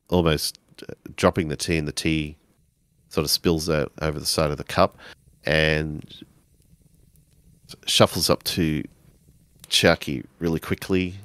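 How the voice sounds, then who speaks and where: A man narrating his character's actions in a tabletop role-playing game, halting as he searches for words. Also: extremely low pitch, fairly bright tone, clean tone, dry recording.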